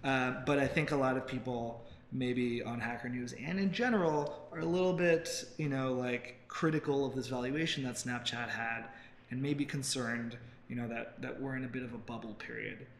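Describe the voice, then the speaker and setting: A young American man explains tech news in a casual, conversational way as a co-host. His voice sits at an average pitch, recorded in a roomy open office with some faint background noise. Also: normal speed. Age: 20s. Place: busy open-plan office, seated on a couch.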